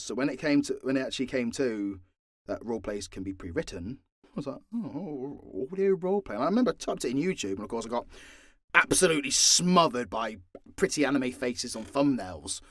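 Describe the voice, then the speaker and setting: A man's voice: medium pitch, fairly bright tone, no room sound, studio quality. A man with a British accent chatting casually and candidly in an unscripted Q&A, swearing freely and poking fun at himself. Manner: candid, sweary, self-deprecating. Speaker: voice actor and audio channel creator.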